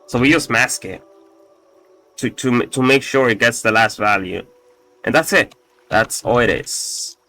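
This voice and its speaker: A man casually walking through his code, halting often with fillers and restarts, with a slightly raspy voice and the odd swear. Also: mid-range voice, pushed and bright, faint music behind. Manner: halting, full of fillers and restarts, profane asides. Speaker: coding tutorial host. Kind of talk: casual screen-recorded coding walkthrough.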